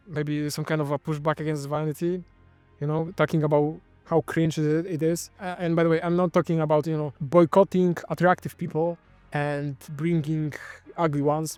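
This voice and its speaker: A man's voice: fairly high pitch, a little mellow, no echo. Rambling Polish-accented man. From Poland. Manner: rambling, self-correcting, wry. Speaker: channel host. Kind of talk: casual monologue commentary.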